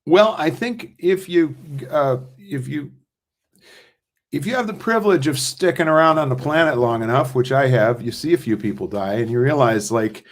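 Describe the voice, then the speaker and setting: An older man in a casual, reflective discussion as a playwright on a video call, halting as he thinks aloud. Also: a little high. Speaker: playwright in a post-show discussion.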